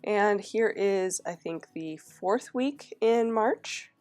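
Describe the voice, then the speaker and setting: A woman's voice: mid-range voice, slow pace, a little mellow, faint music behind. A woman narrating a casual flip-through of her planner, her throat scratchy from a cold, chatting along with fillers and restarts. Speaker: planner vlogger.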